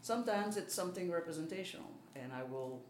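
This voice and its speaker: A woman's voice: fairly low pitch, lively intonation, fast. A woman from Belgium, with a Belgian accent, speaks casually as an interviewee. She is self-deprecating and often feels her way through a thought. The room sounds echoey, with a little background noise. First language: French, German and Dutch. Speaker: artist being interviewed. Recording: roomy, faint background noise.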